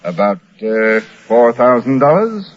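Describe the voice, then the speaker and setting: A man's voice: medium pitch, big pitch swings, a little slow. An actor playing a pompous, genial con man posing as a colonel, with flowery, hesitating speech, in a 1940s radio drama. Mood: sly, coaxing. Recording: noisy, dull old-time radio recording.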